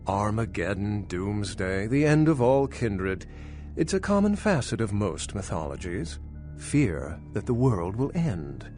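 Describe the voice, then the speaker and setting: An erudite, lilting vampire scholar. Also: male voice, on the low side, sing-song, measured pace, darkish tone, soft background music. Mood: lecturing, skeptical. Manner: articulate, lecturing, wry, pedantic. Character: centuries-old male vampire scholar, erudite, skeptical, dryly condescending. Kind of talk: video game dialogue acting.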